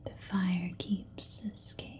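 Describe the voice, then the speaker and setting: A woman whispers very slowly and gently as an ASMR storyteller, leaving long pauses between phrases. Her voice is soft and mellow, in a slightly muffled recording. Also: on the low side, little pitch movement.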